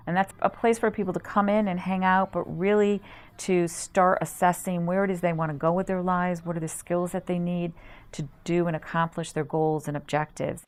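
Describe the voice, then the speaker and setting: Low-voiced woman explaining services. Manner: explanatory, measured, frequent um fillers. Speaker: staff member at a behavioral health center. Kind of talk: promotional interview.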